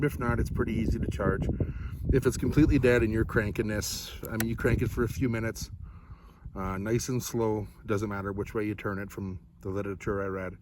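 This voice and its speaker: A man with a fairly low voice talks viewers through a product review, unscripted and casual. He rambles along in a laid-back, folksy manner, with clear background noise like that of a vehicle in the recording. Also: a little fast.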